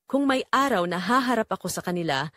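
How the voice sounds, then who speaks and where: A woman's voice: mid-range voice, lively intonation, fairly quick, fairly bright tone, no room sound, studio quality. A woman narrating a dramatic first-person story in an emotive way.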